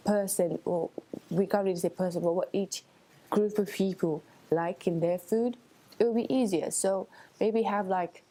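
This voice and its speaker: A woman's voice: fairly low pitch, mellow, poor audio. A young woman from Switzerland giving informal, earnest interview answers as a student election candidate, thinking aloud in a conversational way. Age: young adult.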